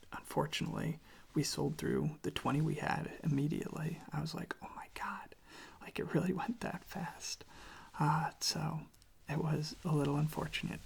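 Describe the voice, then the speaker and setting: ASMR creator needed: slow, soft whispering with heavy vocal fry, even delivery, close on the mic. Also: medium pitch. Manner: slow, hushed, repeats phrases gently. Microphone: close microphone propped on a desk.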